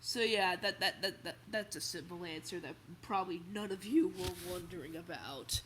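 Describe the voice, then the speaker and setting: Bright-voiced teen boy with a stuffy nose. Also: sits high, moderate pace, a little hiss or hum, rough recording. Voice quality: slightly raspy. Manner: rambling, restarts often, chatty. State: stuffy nose, under the weather. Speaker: toy collector video host. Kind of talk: casual livestream chat.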